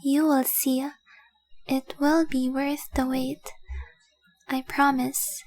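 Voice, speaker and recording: A woman playing a devoted young dragon-blooded fiancée in a romantic audio roleplay, speaking softly, earnestly and adoringly. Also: sits high, level tone, slow, dry recording, poor audio. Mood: devoted, hopeful. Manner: soft, earnest, adoring, often dropping to breathy whispers.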